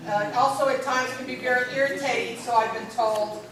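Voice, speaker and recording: A woman's voice: high voice, fairly even intonation, a little fast, fairly bright tone. A middle-aged woman giving an informal presentation on gerontology, talking in a strong voice, loose and chatty with lots of fillers. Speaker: gerontology lecturer. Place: large echoey college hall. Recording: very echoey hall, distant mic, faint noise.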